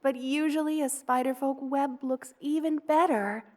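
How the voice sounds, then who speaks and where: A woman voicing a gentle, motherly spider queen in an ASMR roleplay, tender, cooing and soothing as she dotes on the listener. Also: high-pitched, steady tone, moderate pace, natural voice. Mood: playful, doting. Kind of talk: ASMR roleplay, personal attention.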